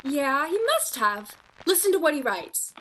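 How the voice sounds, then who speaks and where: A woman acts out a young romantic shop clerk in a radio play, her voice high. She is earnest, proud and a touch haughty, with faint noise behind her. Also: very animated, measured pace, a bit bright, heavily acted, rough recording. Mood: smug, defensive.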